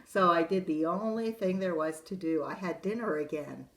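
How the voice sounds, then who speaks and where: Brief: sly smooth-talking wolf, fairly low, chatty and confiding, read by a woman, slight room echo. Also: normal speed, fairly bright tone. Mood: protesting innocence. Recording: roomy echo.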